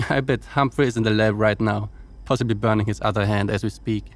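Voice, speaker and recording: An actor playing a wry, dutiful officer logging reports on a space station in an audio drama, with dry, teasing delivery. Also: male voice, on the low side, steady tone, brisk, natural voice. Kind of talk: audio drama log monologue and dialogue.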